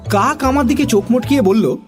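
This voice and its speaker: An expressive, animated narrator telling a children's story in dramatic style. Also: medium pitch, a little fast, soft background music.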